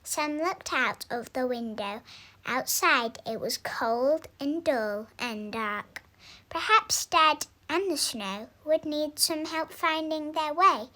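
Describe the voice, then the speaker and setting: A young woman reading a children's story aloud in an expressive, deliberate way, drawing out words for emphasis. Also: high-pitched. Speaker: storybook reader.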